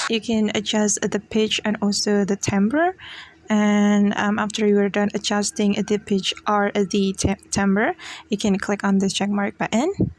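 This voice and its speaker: A woman hosting a casual tutorial, explaining app steps one at a time. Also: mid-range voice. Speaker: tutorial host. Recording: noticeable background noise.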